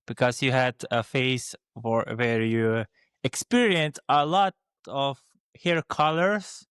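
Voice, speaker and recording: A man's voice: medium pitch, fairly even intonation, slow pace, a bit bright, clean tone, no echo, studio quality. A young man from Slovenia with a Slovene accent, co-hosting a casual podcast chat and speaking hesitantly with many restarts and fillers. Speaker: podcast co-host.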